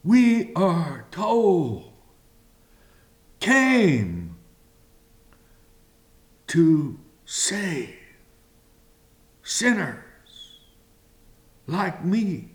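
A man preaching a communion sermon slowly and deliberately, pausing between phrases. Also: fairly high pitch, very animated. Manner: slow and deliberate, with long pauses.

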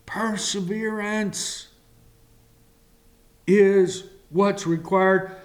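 A man preaching a communion sermon slowly and deliberately, pausing between phrases. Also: high voice, fairly even intonation. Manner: slow and deliberate, with long pauses.

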